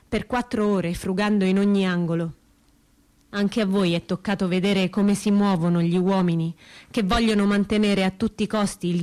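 An actress playing an earnest, resolute young woman militant in a radio theatre monologue, speaking in measured, declarative short phrases. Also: mid-range voice, darkish tone, lightly acted. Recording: music under the voice.

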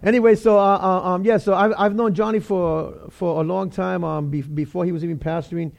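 Middle-aged Bronx-raised pastor, New York accent, high voice, chatty and joking from the pulpit. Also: male voice, steady tone, fairly quick, vocal fry, darkish tone. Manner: chatty, joking, many fillers and restarts. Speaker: guest pastor. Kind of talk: casual sermon.

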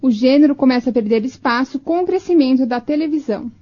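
Brazilian woman reading a radio documentary script, really high voice, bit lo-fi sound. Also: fast, dark tone. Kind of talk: scripted narration. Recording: slightly muffled lo-fi audio.

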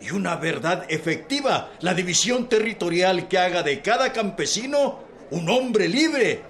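A slow, high-voiced convention orator. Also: male voice, big pitch swings, a bit bright, heavily acted. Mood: rousing. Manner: slow, declamatory. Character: orator presiding over a revolutionary convention. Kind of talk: radio drama acting.

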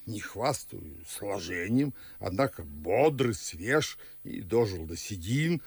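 A gravelly, husky old household patriarch in a verse radio drama. He is pompous and fretful, scolding in slow, blustering lines that swing widely in pitch. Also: sing-song, dry recording, full character voice. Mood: aggrieved, pompous. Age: older. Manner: blustering, theatrical. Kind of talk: classic verse radio play acting.

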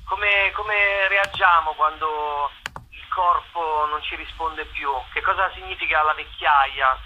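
A man's voice: fairly high pitch, fairly even intonation, takes it slowly, sharp tone, highs cut off, slight background noise. A man explaining his work in a radio interview, often repeating the openings of his phrases. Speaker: actor and playwright being interviewed. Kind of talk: conversational interview, explaining own show.

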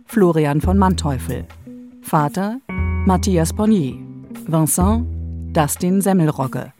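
Female announcer, slightly low voice, unhurried pace, formal credit reading, guitar music behind. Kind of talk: scripted radio announcement.

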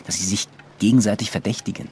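An actor playing an earnest, measured police investigator in a German radio drama. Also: male voice, lowish voice, steady tone, darkish tone, breathy edge. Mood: grave, careful. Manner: measured, serious. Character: earnest male police investigator reporting a conspiracy.

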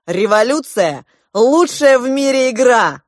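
A woman's voice: mid-range voice, animated, a little slow, no room sound, studio quality, close to an everyday voice. A woman voicing a boastful, imperious elder prince in an audiobook, with grand exclamatory delivery. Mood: grandiose.

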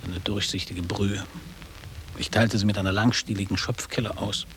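An actor playing a middle-aged former boarding school pupil in a radio drama, blunt and reminiscing in measured, literary phrases. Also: male voice, fairly low pitch, steady tone, a bit bright, a little hiss or hum, close to an everyday voice. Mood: wistful reminiscing.

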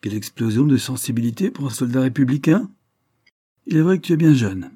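A man's voice: medium pitch, normal speed, dark tone, slightly rough, dry recording, studio quality. A man voicing a ferocious revolutionary official in an audiobook, ironic, contemptuous and declamatory. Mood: cold mockery.

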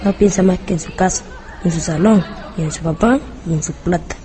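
A woman's voice: on the low side, fairly quick, close to an everyday voice. A woman playing a scornful schoolchild who reassures a friend in a radio drama. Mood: scornful, confiding. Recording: noisy room with loud crowd behind.

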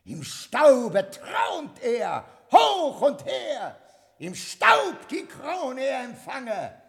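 A man's voice: extremely high pitch, wildly swooping pitch, very slow, gravelly, a bit bright, raised voice, full character voice. A man playing a commanding, mocking master of a mad coronation, proclaiming grandiosely in a 1960s radio drama. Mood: triumphant mockery. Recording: reverberant hall, vintage radio.

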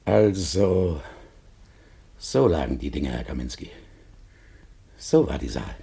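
An actor playing an aggrieved, insistent man in a German radio drama, questioning with indignant pressure in a husky, gravelly voice. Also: a bass voice, very animated, takes it slowly, dark tone, heavily acted.

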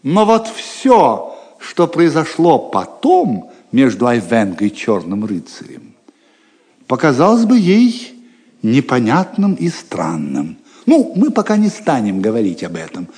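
Theatrical male storyteller, very animated. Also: mid-range voice, a little slow. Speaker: narrator. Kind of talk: dramatic scripted narration of an audio drama. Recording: long reverb on the voice.

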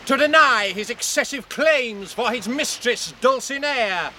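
An actor playing an imposing young knight in a radio drama, loudly proclaiming in formal, declamatory style. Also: male voice, extremely high pitch, lively intonation, slow, slight background noise, heavily acted. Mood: commanding challenge.

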